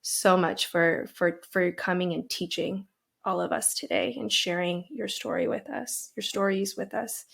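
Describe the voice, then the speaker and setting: A woman hosting a podcast interview, speaking earnestly and emphatically, slowing down to stress key words and often checking in with "right?". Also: lowish voice, fairly even intonation, gravelly, a bit bright. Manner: frequent "right?" and "um". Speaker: podcast host. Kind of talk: heartfelt interview conversation.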